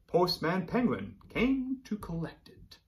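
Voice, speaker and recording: A man in his thirties, a teacher reading a picture book aloud to young children in an encouraging, animated way. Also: sits high, takes it slowly. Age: 30s. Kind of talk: children's storybook read-aloud.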